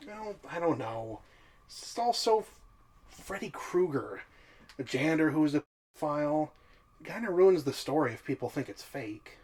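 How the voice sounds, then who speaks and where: A man's voice: fairly high pitch, expressive, moderate pace, darkish tone, lightly acted. An actor playing a skeptical, teasing man exploring an old building, with casual, reactive audio drama delivery. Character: skeptical, teasing male urban explorer with recorder. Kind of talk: naturalistic audio drama dialogue.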